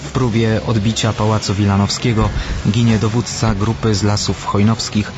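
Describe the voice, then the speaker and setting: A man's voice: lowish voice, little pitch movement, moderate pace, dull audio. A man reading scripted historical narration as the narrator of a radio documentary.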